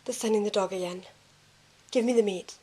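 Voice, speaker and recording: Woman as a gentle, breathy, encouraging companion. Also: mid-range voice, a little fast, natural voice, low-quality recording. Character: gentle, encouraging, shy woman living with outcasts. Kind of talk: amateur audio drama acting.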